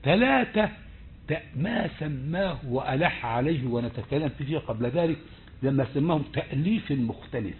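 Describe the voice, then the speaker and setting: A male lecturer with an Egyptian accent giving a slow, deliberate online lecture, often stretching words and restarting his phrases. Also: a little high, animated, slight background noise. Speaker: university lecturer. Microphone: computer mic on a video call. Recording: tinny phone-like call audio.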